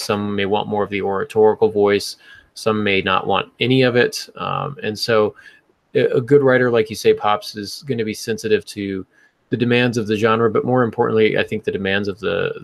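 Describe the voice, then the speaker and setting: Podcast host, man, low and gravelly, instructive and conversational, close dry sound. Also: no echo. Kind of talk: casual panel discussion on fiction writing.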